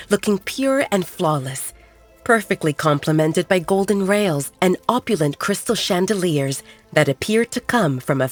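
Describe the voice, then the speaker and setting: A woman reading scripted fiction as an audiobook narrator. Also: on the low side.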